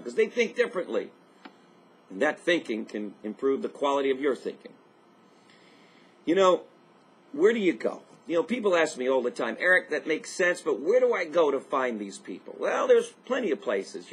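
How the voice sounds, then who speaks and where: Male life coach, 50s, husky, energetic motivational host, webcam delivery. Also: a little high. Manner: energetic, emphatic, upbeat pep talk. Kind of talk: motivational video message. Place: indoors by a large window.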